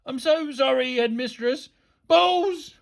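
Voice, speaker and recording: A woman's voice: medium pitch, expressive, moderate pace, gravelly, breathy edge, pitched above the performer's own voice. A woman voicing a manic, thundering male schoolteacher in a storybook, spluttering and repeating in exasperation. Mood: flustered. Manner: bellowing, repetitive, spluttering.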